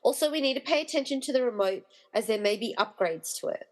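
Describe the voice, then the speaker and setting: Woman doing wry excitable rescuer gal, comedy audio drama, very animated, slightly high voice. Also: fast, close to an everyday voice. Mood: wry, matter-of-fact. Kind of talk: amateur comedy radio play dialogue.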